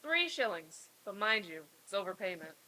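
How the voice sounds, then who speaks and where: A woman's voice: mid-range voice, big pitch swings, moderate pace, pushed and bright. A woman playing a shrewd, haggling rag dealer in a staged radio play reading. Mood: shrewd, prying. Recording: noisy live stage recording.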